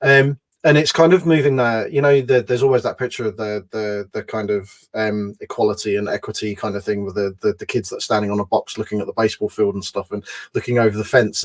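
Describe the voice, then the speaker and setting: A man with a fairly low voice answers interview questions at length. He explains his ideas step by step and often lays them out as lists. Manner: explanatory, builds points in lists. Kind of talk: conversational interview.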